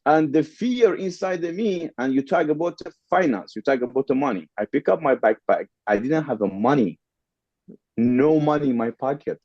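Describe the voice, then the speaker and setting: A man's voice: mid-range voice, expressive, normal speed, darkish tone, no echo, lo-fi sound. Podcast guest, man, Persian accent, non-native English, repeats lines for emphasis. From Middle East. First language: Farsi. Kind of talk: casual interview storytelling.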